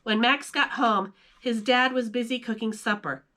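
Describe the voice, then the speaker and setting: A woman's voice: on the high side, fairly even intonation, normal speed, bright, forceful tone. A woman hosting with a playful, chatty manner, moving between casual talk and children's story reading. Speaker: video host and storyteller. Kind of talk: casual vlog chat and children's story read-aloud.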